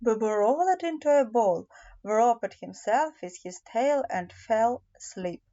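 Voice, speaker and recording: A woman reads a children's fairy tale in a fairly high, soft-edged voice. She takes it slowly and deliberately, stumbling slightly now and then. The recording is a little dull and homemade. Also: expressive. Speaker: audiobook narrator.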